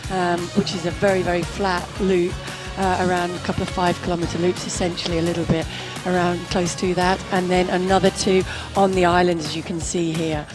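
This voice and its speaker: Woman race commentator, low voice. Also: little pitch movement, over music. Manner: explanatory, measured analysis. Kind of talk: live sports commentary.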